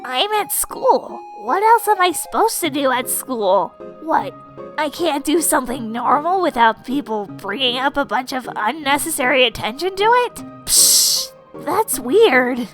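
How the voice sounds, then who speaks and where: Sulky young talking flower acted by a woman, high and swooping, huffy and defensive, fan cartoon dub. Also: sing-song, measured pace, fairly bright tone, exaggerated voice. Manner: huffy, dismissive. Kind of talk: character voice in fan comic dub. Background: music.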